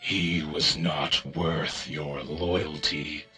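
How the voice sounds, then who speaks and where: A man voicing a cold, weary alien conqueror from deep space, speaking slowly and gravely. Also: steady tone, bright, forceful tone, soft background music, heavily acted. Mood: cold, solemn. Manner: slow, grave and solemn. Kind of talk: storybook reading.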